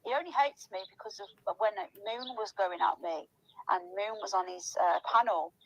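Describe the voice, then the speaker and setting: A woman's voice: mid-range voice, expressive, normal speed, fairly bright tone, a little hiss or hum, poor audio. A woman chatting casually and gossipily in a rambling, conversational way.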